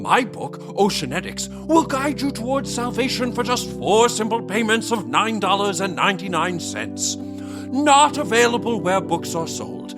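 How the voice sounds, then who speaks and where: An actor playing a pompous pulp author pitching self-help in a parody vintage radio advert. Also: male voice, very animated, a little slow. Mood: grandiose, salesy. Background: loud plucked guitar music.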